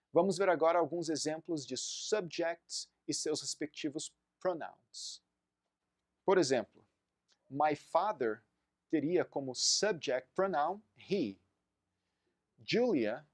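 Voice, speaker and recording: A man in his thirties, a native Portuguese speaker, teaching an English lesson and drilling phrases slowly for learners to repeat. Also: fairly high pitch, no echo, clean studio sound. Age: 30s. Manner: slow, deliberate drilling of phrases for repetition. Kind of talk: recorded online language lesson.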